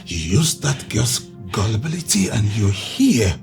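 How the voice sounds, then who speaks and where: An actor playing a stern, well-off husband with a Nigerian accent in a film drama. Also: male voice, mid-range voice, big pitch swings, unhurried, vocal fry, over music. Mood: stern, accusing.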